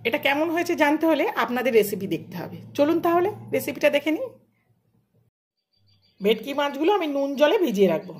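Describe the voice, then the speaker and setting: A woman's voice: high-pitched, big pitch swings, fast talker. A woman, a home cook narrating a recipe step by step in plain, short, casual phrases. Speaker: home cook hosting a cooking channel.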